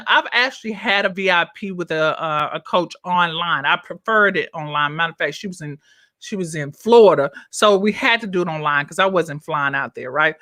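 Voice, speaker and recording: A woman's voice: mid-range voice, pushed and bright, dry recording, studio quality. A woman coach giving a casual live-streamed talk, chatting straight to her viewers and reading out their comments as she goes. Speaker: business coach. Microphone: own microphone, checked on her phone.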